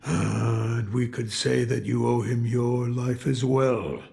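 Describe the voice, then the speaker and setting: An actor playing a gruff, weary old retired shinobi in a video game, with a gravelly voice. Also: male voice, medium pitch, steady tone, slow pace, dark tone. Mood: reflective. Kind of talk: dramatic video game dialogue.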